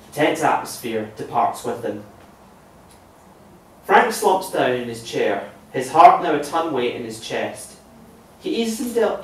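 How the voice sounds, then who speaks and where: A male narrator reads screenplay directions with a lively, varied pitch. The sound is very echoey and distant, with faint noise behind it. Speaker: narrator reading stage directions. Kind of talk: scripted narration at a table read.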